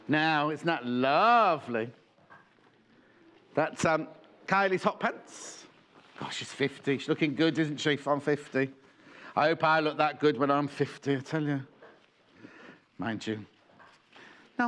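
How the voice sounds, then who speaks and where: A man's voice: fairly high pitch, animated, measured pace, pauses often, fairly bright tone. A middle-aged man presenting a live shopping show from a TV craft studio, chatty and jokey, rambling between banter and sales patter. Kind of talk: live sales demo chat.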